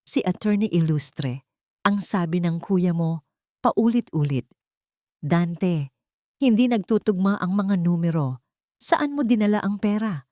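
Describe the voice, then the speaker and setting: Elderly female nanny, acted by a woman, troubled, grave, audio drama, lively intonation, dry sound. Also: lowish voice, a little slow, pauses often, no room sound. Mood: quoted anger. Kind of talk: Tagalog audio drama dialogue.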